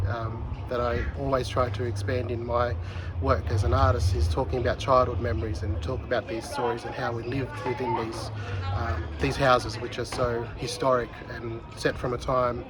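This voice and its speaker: A young man from New South Wales talks about his art in an earnest, reflective way, pausing often with ums and uhs. His voice sits at an ordinary middle pitch. He is recorded outside on a residential street, with clear outdoor noise behind him. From New South Wales, Australia. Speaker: contemporary artist. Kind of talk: artist talking about his work to camera.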